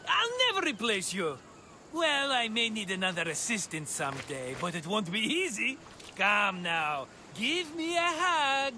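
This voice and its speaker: An actor playing a flamboyant middle-aged wheeler-dealer in a video game, cajoling and sentimental in a theatrical way. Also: male voice, sits high, big pitch swings, unhurried, sharp tone, slight background noise, heavily acted. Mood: melodramatic, affectionate. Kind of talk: video game cutscene acting.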